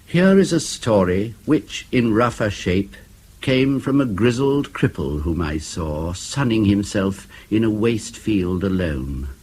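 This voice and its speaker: A man reciting poetry. His voice is fairly low and very slow. The reading is solemn, grave and measured. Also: animated, darkish tone, breathy edge. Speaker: poetry reader.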